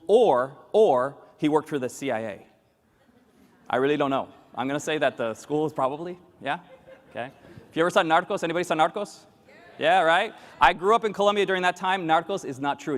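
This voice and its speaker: A man in his forties delivers a lively keynote in a fairly high voice. He jokes and fires questions at the room. His voice rings with the long reverb of a large conference hall. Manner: animated and joking, quizzes the audience. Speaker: keynote speaker.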